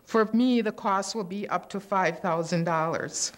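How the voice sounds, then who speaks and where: An older woman reading a prepared, measured complaint at a city council public comment, into a podium microphone in a council chamber. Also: mid-range voice. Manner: steady, measured, indignant complaint. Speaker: resident speaking at public comment. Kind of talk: prepared statement read at a council meeting. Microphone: podium microphone on a gooseneck.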